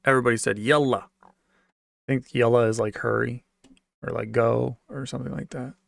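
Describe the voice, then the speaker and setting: Male livestreamer, American, casual and repetitive, mid-pitched with vocal fry, dry sound. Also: fairly even intonation, brisk, no room sound. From United States, raised moving around in a military family. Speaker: livestream host answering viewer comments. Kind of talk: casual off-the-cuff chat with viewers.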